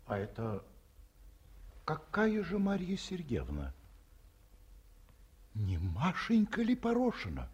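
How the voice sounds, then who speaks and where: An actor playing an aging academician in a 1950s radio play, weary and chilled from the road, calming his grumbling son. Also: fairly high pitch, slow pace, long pauses, breathy edge, muffled recording, heavily acted. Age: older. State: feverish chill. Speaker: academician geologist, the father.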